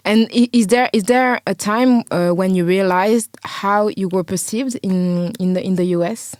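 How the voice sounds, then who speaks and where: A woman's voice: medium pitch, normal speed, darkish tone, no room sound. French woman co-host, dry close sound. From Paris, France. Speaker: podcast co-host, journalist. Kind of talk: podcast interview.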